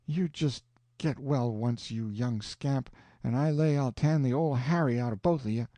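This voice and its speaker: A man's voice: mid-range voice, normal speed, dark tone, no echo, lightly acted. A man voicing a fussy, flustered elderly country aunt who scolds and exclaims in a raised voice. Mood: scolding.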